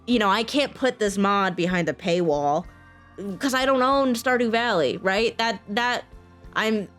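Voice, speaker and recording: A woman talking casually in a vlog announcement, informal and halting, often restarting her sentences. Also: mid-range voice, lively intonation, a bit bright, faint music behind. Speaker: voice actor, software engineer and game modder.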